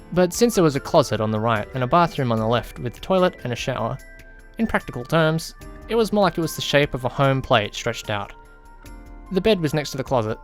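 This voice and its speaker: A man reading a scripted first-person story as an audiobook narrator. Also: medium pitch. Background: background music.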